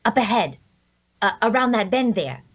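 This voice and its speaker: Actress as sly young thief, husky voice, animated pitch, coy, teasing, fantasy drama dialogue. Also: female voice, normal speed. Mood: alert, hushed. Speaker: voice actor. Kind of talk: scripted character dialogue at a table read.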